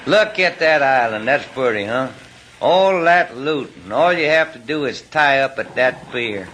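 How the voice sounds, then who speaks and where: An actor playing a sly, chatty treasure seeker with a slangy, needling manner, in old-time radio drama style. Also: male voice, medium pitch, lively intonation, measured pace, fairly bright tone, rough recording. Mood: chatty, greedy. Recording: old radio recording with faint hiss.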